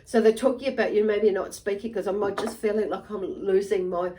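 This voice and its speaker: A woman giving an unscripted tarot reading to viewers, halting and meandering, often repeating and rephrasing herself as she goes. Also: mid-range voice, fairly even intonation, mellow. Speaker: tarot reader and channel host.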